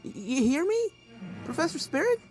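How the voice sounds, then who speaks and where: A woman's voice: high-pitched, very animated, moderate pace, a little mellow, soft background music, pitched above the performer's own voice. A woman voicing a plucky, anxious young filly adventurer with an urgent, exclaiming manner.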